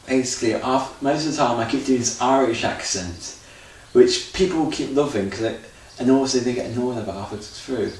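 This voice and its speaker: A man's voice: mid-range voice, normal speed, darkish tone. A man talking off the cuff in an unscripted audition tape, rambling with loose grammar and restarts. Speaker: auditioning actor. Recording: very echoey room, far from mic, faint noise.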